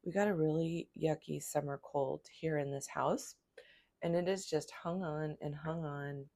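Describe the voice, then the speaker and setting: A woman with a hoarse voice from a cold, giving a calm, flowing spiritual tarot reading. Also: deep voice, measured pace. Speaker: tarot reader.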